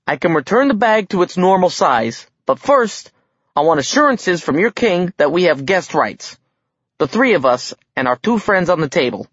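A man's voice: high voice, very animated, normal speed, fairly bright tone, slightly rough, dry recording, muffled recording, voice pushed up, exaggerated voice. A man voicing a dwarf craftsman in a fantasy audiobook, declaiming with anxious bravado. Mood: nervous bravado. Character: proud but nervous male dwarf craftsman, showman-like.

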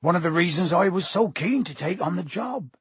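A man voicing a gruff, friendly giant gamekeeper, hearty and proud, with husky, folksy, colloquial speech. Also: high voice, lively intonation, fairly quick, dry recording, pitched above the performer's own voice, exaggerated voice. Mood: sheepish, confiding. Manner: folksy, colloquial, dropped words.

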